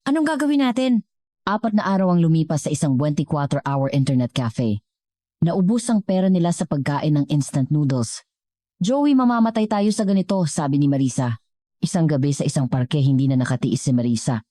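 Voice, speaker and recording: A woman's voice: low voice, a little fast, mellow, dry recording, clean studio sound. A woman narrating a scripted dramatic story as a storyteller.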